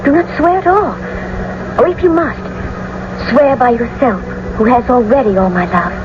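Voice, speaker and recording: A woman's voice: fairly high pitch, normal speed, close to an everyday voice. An actress playing a tender, anxious, lovestruck young noblewoman in a classical radio drama, theatrical and earnest. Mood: earnest, cautious. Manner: theatrical, earnest, romantic delivery. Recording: muffled, noisy old radio broadcast recording.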